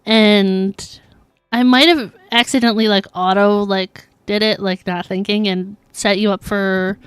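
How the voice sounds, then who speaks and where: A woman's voice: medium pitch, fairly even intonation, measured pace, clear, resonant tone, no echo. A woman livestreaming a game, talking casually in a chatty, giggly, informal way. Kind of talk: casual live gaming commentary.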